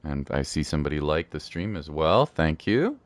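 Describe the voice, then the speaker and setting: A man's voice: a bass voice, big pitch swings, normal speed, no room sound. A man chatting casually as a live game streamer, easygoing and prone to repeating himself, close on the mic.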